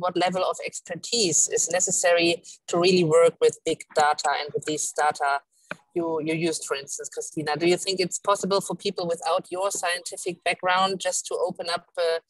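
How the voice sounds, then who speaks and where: A woman from the audience asking a careful, halting question in a panel discussion. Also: lowish voice, dry recording, poor audio. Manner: careful, halting, many uhs.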